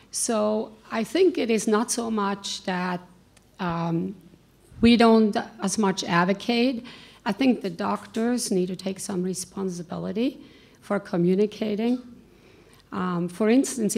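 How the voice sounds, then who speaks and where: Gravelly-voiced woman speaking from the floor. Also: medium pitch. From United States. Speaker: audience member asking a question. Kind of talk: spontaneous comment from the floor. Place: large conference hall with audience.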